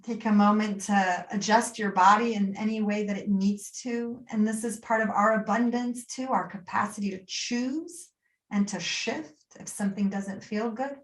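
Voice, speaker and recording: A woman with a mid-range voice guides a relaxation practice in a roomy, echoing space. She speaks as a yoga teacher would, soothing and gently instructive, leaving pauses between short, unscripted phrases. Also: mid-range voice, fairly even intonation, far from the mic. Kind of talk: guided meditation, spoken live and unscripted.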